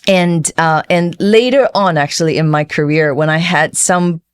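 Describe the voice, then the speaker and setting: A middle-aged woman from Taiwan who grew up speaking talks as an interview guest in a fairly low voice. She reflects casually on her own life, leaning on emphasis and small checking tags. The sound is dry, with very little room around it. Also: normal speed, clean tone, no room sound. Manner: informal, emphatic, frequent checking tags like "you know" and "right". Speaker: interview guest, finance executive.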